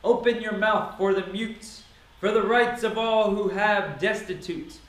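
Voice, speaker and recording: A man with a high-pitched voice reads scripture aloud in a dramatic style. His delivery is solemn and declamatory, with each phrase set out deliberately. The recording is drenched in echo. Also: a bit bright. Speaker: Bible reader. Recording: very echoey, reverberant sound.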